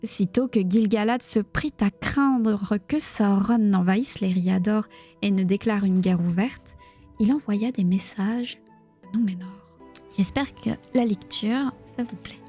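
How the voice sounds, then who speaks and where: A woman with a Belgian accent reading a book aloud on a live stream in a hushed, near-whispering voice, stopping to chat with her viewers. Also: medium pitch, a little slow, husky, faint music behind. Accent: Belgian French. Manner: reads narration in a hushed, near-whispered voice, chats plainly with viewers.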